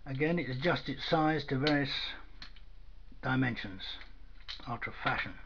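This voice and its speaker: A man's voice: mid-range voice, normal speed. A man gives an informal hands-on demonstration in a matter-of-fact, dry, understated way, recorded indoors at a workbench on a camera microphone. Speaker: product demonstrator. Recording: dull lo-fi sound with clear noise.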